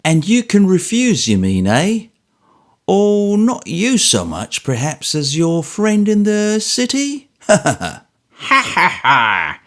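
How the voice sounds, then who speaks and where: A man voicing a spiteful, gleeful old man with a shrill, cackling, drawn-out delivery. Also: huge pitch swings, heavily acted. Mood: mocking. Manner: mocking, vindictive, drawn out.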